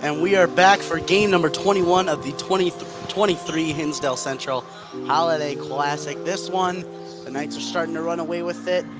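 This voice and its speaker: A man's voice: on the high side, normal speed, over music. A man calling live basketball play-by-play on the radio. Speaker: sports play-by-play announcer.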